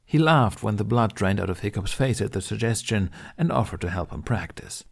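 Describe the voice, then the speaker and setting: A man's voice: fairly low pitch, lively intonation, a little fast, creaky, slightly rough. A man reading a story aloud as scripted narration. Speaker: podfic reader.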